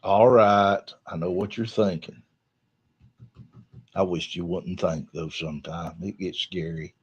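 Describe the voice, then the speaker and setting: A man's voice: deep voice, fairly even intonation, measured pace, darkish tone, breathy edge. A man with a Southern US accent hosting a wrestling podcast, chatting and recapping matches in a folksy, colloquial storytelling way. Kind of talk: casual wrestling recap chat. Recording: dull, lo-fi podcast audio.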